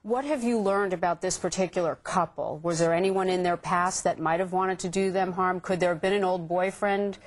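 A woman's voice: fairly low pitch, fairly even intonation, moderate pace, few pauses. A woman in her thirties hosting a live television news interview, questioning guests from a studio on a lapel mic. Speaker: news host.

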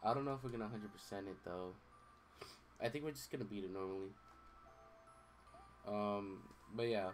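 Young man, game streamer, rambling, distracted, casual swearing, lowish voice, loud game music. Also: moderate pace, pauses often. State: voice recovering from strain. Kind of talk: casual gameplay commentary.

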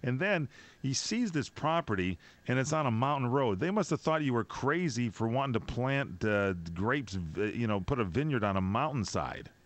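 A man's voice: medium pitch, lively intonation, moderate pace. A man in his forties hosting a talk radio show from a small studio, asking long, effusive questions. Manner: enthusiastic, effusive, rambling questions. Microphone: studio mic with headphones.

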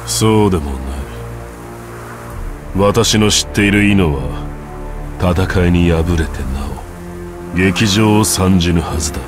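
An actor voicing a grim, weary immortal warrior in a video game, speaking in a stern, formal, measured way. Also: male voice, low-pitched. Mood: stern, cold. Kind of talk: Japanese video game voice acting. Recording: dramatic music under the voice. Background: loud music.

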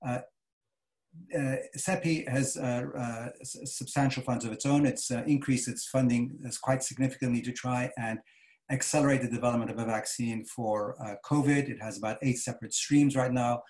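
An older man speaks from a study at home over a video call, laying out a financial mechanism in a measured, deliberate way. His pitch sits in the middle range, and he often repeats short words while he builds his argument. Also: steady tone, a little mellow. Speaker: chair of a development finance board, interviewee. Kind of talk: measured expert explanation.

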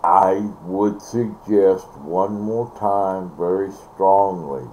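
An older American man chats casually into a computer mic in a small bedroom. His voice is low and mellow, and he takes his time, halting and teasing as he goes. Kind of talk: casual chat to viewers. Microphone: computer mic at close range. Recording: faintly noisy home computer recording.

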